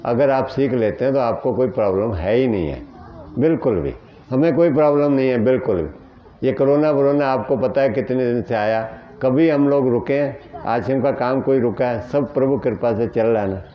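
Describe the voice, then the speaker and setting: Guy teaching natural healing, earnest, explains stuff plainly, middle pitch, kinda muffled recording. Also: normal speed. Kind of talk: teaching talk answering questions.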